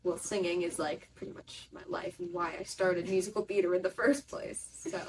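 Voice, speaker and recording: A woman's voice: lowish voice, fairly even intonation, normal speed. A teenage girl theater student and singer, chatting eagerly with friends. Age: teens. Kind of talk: casual chat. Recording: distant mic, faint room noise.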